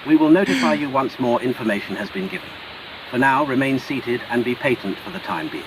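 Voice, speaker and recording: A man's voice: mid-range voice, expressive, fairly quick, crowd noise, lightly acted, poor audio. A man with a British accent playing a grave TV emergency announcer, reading formal, urgent scripted news over hissy audio.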